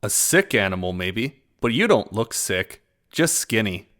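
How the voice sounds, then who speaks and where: A man's voice: on the low side, big pitch swings, fairly bright tone. Man voicing a wry, knowing little prey creature. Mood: wry, grave. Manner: wry, measured, storytelling. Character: knowing, sarcastic, sassy small prey animal, world-weary and sharp. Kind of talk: animal fantasy audio drama acting.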